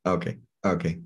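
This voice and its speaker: A man's voice: creaky, breathy edge, highs cut off. A middle-aged man with an Indian English accent, presenting a tech webinar in a soothing, conversational way with frequent "okay"s. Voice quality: soothing, low voice. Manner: conversational, many fillers like "okay" and "right". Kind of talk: live online tech webinar.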